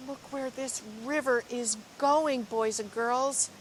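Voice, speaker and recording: A woman reads a children's story aloud in a fairly high voice. She takes it very slowly, phrasing each line dramatically for young listeners. There is some background noise in the recording. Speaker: school librarian reading story time. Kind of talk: expressive children's picture-book read-aloud.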